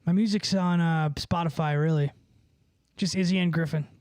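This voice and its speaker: A man's voice: fairly high pitch, steady tone, normal speed, a little mellow, clean tone, dry recording, clean studio sound. A man livestreaming, chatting casually with viewers in a rambling, profane, slangy way. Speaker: livestreamer and musician.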